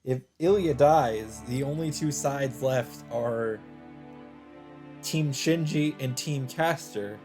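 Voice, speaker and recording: A man reading a visual novel aloud as a let's player, earnest and expressive, mixing dramatic narration with casual commentary. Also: medium pitch, slow. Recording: background music under the voice. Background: game music.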